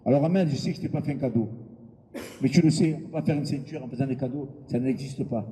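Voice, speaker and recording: Very dark-voiced male promoter in a hall. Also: mid-range voice. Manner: hesitant, with frequent filler pauses; blunt and informal, with crude words. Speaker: boxing event promoter. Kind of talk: press conference speech. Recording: long hall reverb with background noise.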